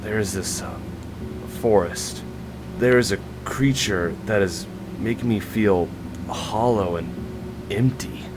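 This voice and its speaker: A man's voice: fairly low pitch, slow pace, background noise. A man voicing a folksy, oddball patient in a visual novel game, talking in an informal, rambling, slangy way. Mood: uneasy, weary. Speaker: video game character. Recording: loud music behind the voice. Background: loud game music.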